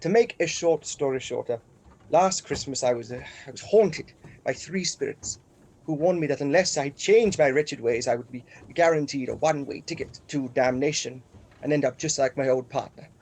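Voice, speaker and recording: A man's voice: mid-range voice, moderate pace, a little mellow, husky, low-quality recording. A man acting a gloomy, troubled older uncle in a comic radio play, weary and exasperated.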